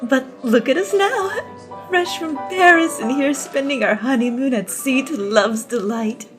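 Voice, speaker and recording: Woman playing a gushing, high-voiced young newlywed. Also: unhurried, fairly bright tone. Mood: delighted. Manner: effusive, dainty, exclaiming. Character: gushing, sweet, excitable young newlywed wife. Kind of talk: radio play acting. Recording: music bed under dialogue. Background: music.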